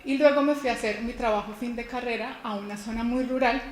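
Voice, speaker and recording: A woman's voice: fairly high pitch, steady tone, fast, darkish tone. A woman from Colombia in her thirties giving a conference keynote, explaining in a personal way with rhetorical questions and a few restarts. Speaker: university lecturer giving a keynote. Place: conference hall. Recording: echoey hall with faint noise.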